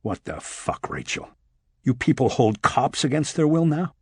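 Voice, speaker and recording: A male narrator plays a hard-nosed police detective in a crime audiobook. He is gruff and defiant, speaking bluntly in a husky voice. The recording is dry and close. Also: fairly high pitch, normal speed, a little mellow, dry recording, voice pushed up. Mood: angry. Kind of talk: audiobook character dialogue.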